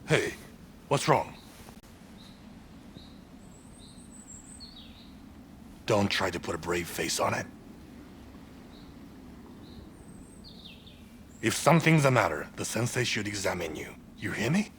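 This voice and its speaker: An actor playing a gruff, teasing, laid-back warrior comrade in a video game, with a gravelly voice and a familiar manner. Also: male voice, very animated, a little fast, a bit bright, a little hiss or hum. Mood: concerned. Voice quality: low, gravelly. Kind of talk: video game cutscene acting.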